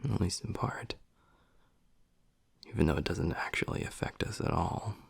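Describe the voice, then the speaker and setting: A man voicing a gruff but tender young werewolf boyfriend in an intimate audio roleplay, husky and breathy, speaking low and haltingly. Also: lively intonation, no echo, exaggerated voice. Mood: wry, relaxed. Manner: low, halting, half-whispered delivery.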